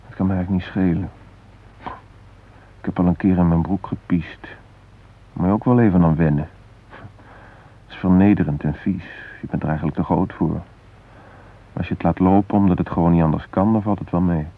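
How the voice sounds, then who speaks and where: An actor playing a frightened hostage father in a radio play, musing in a halting, wry, vulnerable way. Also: low voice, slightly rough. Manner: reflective, halting, wryly self-mocking. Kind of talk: radio drama interior monologue and dialogue. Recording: muffled phone-like band.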